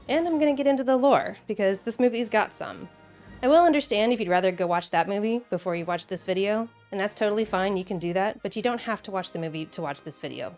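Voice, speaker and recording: A woman's voice: mid-range voice, a little mellow. An American woman hosting a video essay, talking in a conversational, playful way with casual asides.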